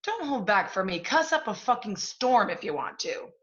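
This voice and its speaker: A woman's voice: medium pitch, very animated, normal speed, lo-fi sound, lightly acted. A woman playing a brash, impatient literary agent in a stage play, blunt, profane and domineering. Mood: bold, encouraging. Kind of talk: one-act stage play dialogue.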